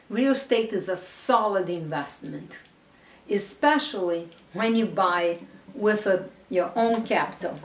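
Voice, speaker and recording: A woman's voice: a little high, recorded from a distance. Woman in an echoey room. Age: middle-aged. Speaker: business presenter pitching investments. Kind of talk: unscripted promotional talk to camera. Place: small bare room indoors. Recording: echoey room, off-mic, faint noise.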